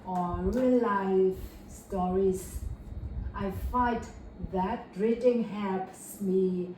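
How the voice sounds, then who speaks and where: A woman's voice: mid-range voice, drawn-out words, a little mellow. A young woman from Vietnam, answering a mock speaking test in careful, rehearsed English with fillers and self-corrections. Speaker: test candidate. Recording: echoey room, far from mic, faint noise.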